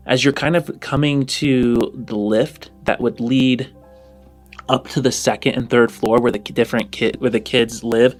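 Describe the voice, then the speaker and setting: A man improvising narration as game master of a tabletop role-playing game. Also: mid-range voice. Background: music.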